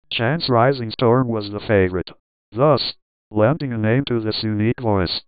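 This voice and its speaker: A man's voice: fairly low pitch, clear, resonant tone, no echo, lightly acted. A synthetic male voice playing a pleasant, robotic weather radio announcer. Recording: dull lo-fi synthesizer audio.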